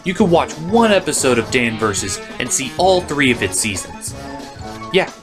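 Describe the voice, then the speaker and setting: A man's voice: mid-range voice, sing-song, normal speed. A man giving a scripted, opinionated comedic review as a cartoon critic and host. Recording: music behind the voice.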